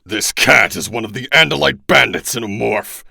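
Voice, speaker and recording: A man voicing a cruel, alien-possessed middle-aged man who snarls threats and shouts. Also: medium pitch, moderate pace, gravelly, no room sound, clean studio sound, heavily acted. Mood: cold threatening.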